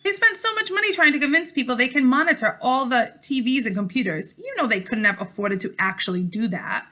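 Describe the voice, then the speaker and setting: Woman reading as a bold, bright-voiced teasing girl. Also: high-pitched, expressive, brisk. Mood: teasing, scornful. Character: bold, breezy, teasing girl, confident rule-breaker.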